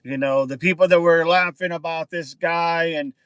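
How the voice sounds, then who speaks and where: A man's voice: on the high side, steady tone, normal speed, fairly bright tone, smooth, no room sound. A man hosting a casual livestream outdoors, chatting with viewers and answering their comments, often repeating words for emphasis. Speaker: livestream host.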